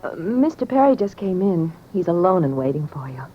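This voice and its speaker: An actress playing a polite office secretary in a 1950s radio drama. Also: female voice, lowish voice, sing-song, a little fast. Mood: polite, pleasant. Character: polite female office secretary, shaken by disaster. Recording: muffled, noisy old radio recording.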